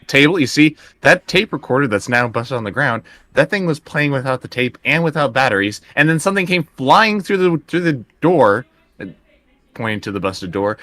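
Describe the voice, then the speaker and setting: A man's voice: mid-range voice, sharp tone. A man playing a tabletop roleplaying game, speaking casually and often in character, hesitating and repeating his words.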